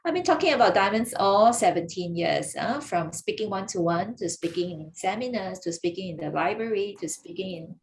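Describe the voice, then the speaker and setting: A woman's voice: medium pitch, expressive, a little fast, hardly any pauses. A woman from Malaysia, a gemologist hosting a webinar in a chatty, teasing way with frequent laughs, speaking over a video call. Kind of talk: casual webinar talk.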